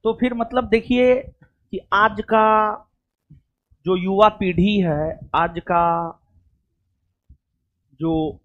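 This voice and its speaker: A man's voice: high-pitched, steady tone, very slow, pauses often, highs cut off. A man speaks to a coaching class. His talk is loose and chatty. He keeps circling back with little check-ins to the students. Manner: chatty, rambling, repeats "theek hai". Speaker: coaching teacher.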